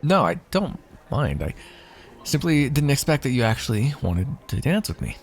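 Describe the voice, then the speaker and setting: A man's voice: medium pitch, very animated, normal speed. A man playing a wry, teasing royal heir and former mercenary in an ASMR fantasy roleplay, with formal, theatrical phrasing. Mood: surprised.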